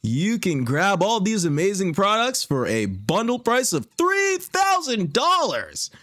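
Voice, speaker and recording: A smarmy, chirpy TV salesman in a parody ad. His voice sits high and bounces around showily, pushing every line like a bargain. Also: huge pitch swings, measured pace, fairly bright tone, no room sound, clean studio sound, heavily acted. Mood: upbeat salesy. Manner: showy, exaggerated salesman delivery. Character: chirpy, smarmy infomercial pitchman selling yandere protection. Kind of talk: TV shopping ad parody.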